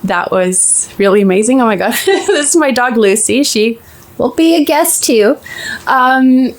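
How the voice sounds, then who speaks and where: A woman's voice: a little high, expressive, measured pace. Chatty Swedish-accented woman in her forties. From Sweden. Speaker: interview guest, actress. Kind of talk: casual interview chat.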